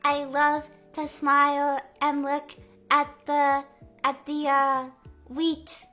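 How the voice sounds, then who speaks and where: Young midwest woman streaming, super bright bubbly voice, faint music behind, says like a lot. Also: high voice, completely flat delivery. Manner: bubbly, playful, heavy "like" filler, drawn-out vowels. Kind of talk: casual live-stream chat reading comments.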